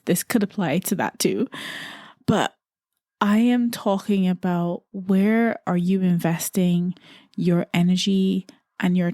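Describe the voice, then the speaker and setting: A woman's voice: lowish voice, warm tone, no room sound, studio quality. A middle-aged woman from Barbados hosting a solo podcast, talking in an earnest, musing way and repeating phrases for emphasis. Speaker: podcast host. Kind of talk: reflective solo podcast talk.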